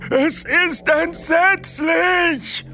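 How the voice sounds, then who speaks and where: A jittery old man near eighty stammers and catches his breath, his voice pitched high and strained, as a male reader puts him on. He sounds loud and pressed, with a fearful tremble. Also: very slow, faint music behind, exaggerated voice. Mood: panicked. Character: frightened elderly man nearly eighty.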